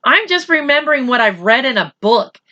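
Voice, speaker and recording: A woman's voice: high voice, sing-song, brisk, sharp tone, lo-fi sound, voice pushed up, exaggerated voice. A woman voicing a proud young schoolboy in a storybook reading, indignant and insistent as he defends himself. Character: defensive, proud schoolboy writer standing up to his brother.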